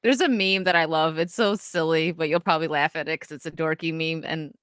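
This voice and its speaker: Upstate New York woman, stream host, bright and crisp, giggly, dry close mic. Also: low voice, fairly even intonation, a little fast, dry recording. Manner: giggly, chatty, laughs often. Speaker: livestream host. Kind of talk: casual livestream chat.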